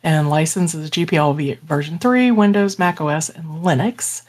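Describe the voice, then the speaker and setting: A woman with a somewhat low voice reads technical news items on a podcast in a plain, steady way. Between items she makes dry, joking remarks. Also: normal speed. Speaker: podcast co-host. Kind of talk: reading news items and chatting.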